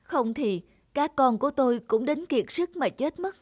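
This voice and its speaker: A woman's voice: high voice, expressive, normal speed, breathy edge, no echo, clean studio sound. A woman playing a selfless, worn-out peasant mother in a Vietnamese cartoon fairy tale, pleading and tender.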